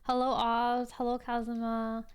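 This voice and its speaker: A woman's voice: on the high side, monotone, measured pace, clear, resonant tone. A woman in her twenties hosting a livestream, chatting casually with viewers in a rambling way full of "like". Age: 20s. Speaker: livestream host.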